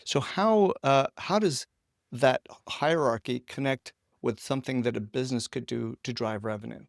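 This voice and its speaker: Creaky-voiced guy doing a casual interview, eases into his questions, dry recording. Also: mid-range voice, moderate pace, no room sound. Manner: conversational, feels his way into questions. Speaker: interviewer, host.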